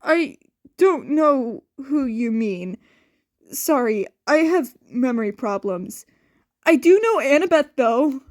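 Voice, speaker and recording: A woman's voice: sits high, animated, slow pace, a little mellow. A woman voicing a wary, confused teenage boy in a guarded, challenging manner for an audio story.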